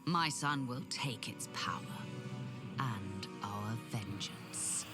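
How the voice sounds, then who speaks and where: A woman voicing a sinister, regal dark elf mother in a game cutscene, languid and imperious, partly whispering. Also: rumbling low, takes it slowly. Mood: vengeful. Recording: loud music behind the voice. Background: music.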